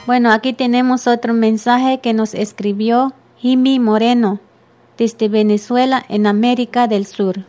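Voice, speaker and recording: A woman radio host introducing letters sent in by listeners, in a plain, measured way. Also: on the high side, fairly even intonation, a little mellow, a little hiss or hum.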